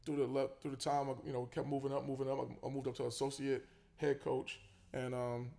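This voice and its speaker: A quick-talking man, at an ordinary pitch for a man. He tells his story in a relaxed, casual way, full of fillers and restarts. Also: gravelly. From Queens, New York. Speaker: college basketball head coach, guest.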